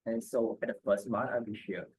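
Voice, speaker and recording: A man whose first language is Mandarin, speaking English on a student panel haltingly, with restarts and simple phrasing. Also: fairly low pitch, little pitch movement, a little mellow, breathy edge, low-quality recording. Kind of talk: casual panel discussion.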